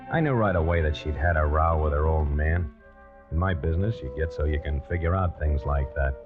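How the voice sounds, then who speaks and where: Male actor for anxious lovestruck noir killer, very low voice, 1940s radio suspense, muffled vintage sound. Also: lightly acted. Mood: reflective. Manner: hesitant, stammering, polite in dialogue; tense, measured narrating. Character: nervous, desperate lovestruck male pollster turned would-be killer. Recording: dull old radio recording with music.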